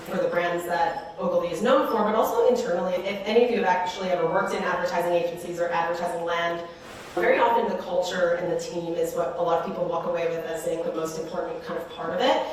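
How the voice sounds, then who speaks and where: A woman's voice: on the low side, very echoey, far from the mic, a little hiss or hum, rough recording. A woman in her thirties from Western Canada, talking casually as an interview guest with lots of fillers like "like" and "you know.". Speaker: guest, startup co-founder.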